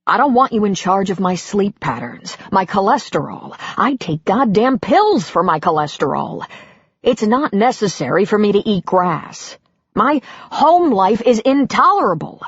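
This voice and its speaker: A woman's voice: mid-range voice, very animated, measured pace, husky, no echo, dull audio, heavily acted. A woman voicing an exasperated middle-aged husband who is walking out, bitter and swearing in heated outbursts. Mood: fed up.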